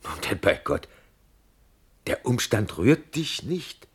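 Worried officer friend in old verse radio play, deep raspy voice, grave urgent questions. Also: male voice, sing-song, slow, full character voice.

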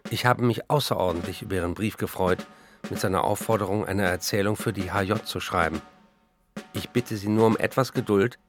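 An actor in a radio play voicing an embattled, wry German novelist of the 1930s, reading out letters and diary entries. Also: male voice, on the low side, a bit bright, natural voice.

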